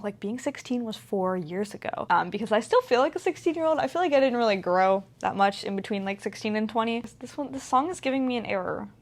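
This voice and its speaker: A woman of twenty talking in a casual, rambling vlog style, full of "like" and "um" as she chats. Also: medium pitch. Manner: rambling, filler-heavy, lots of "like". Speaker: vlogger.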